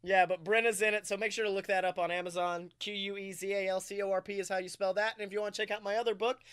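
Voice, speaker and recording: Male podcast co-host, higher register, forceful bright tone, excitable, casual and jokey storyteller. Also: quick pace, clear, resonant tone. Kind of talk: animated casual podcast chat.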